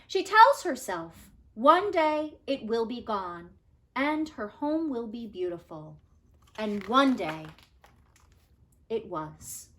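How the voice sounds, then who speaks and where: A woman's voice: fairly high pitch, very animated, slow pace, fairly bright tone, a little hiss or hum. A woman teacher reading a children's story aloud expressively, with careful, dramatic phrasing and emphasis.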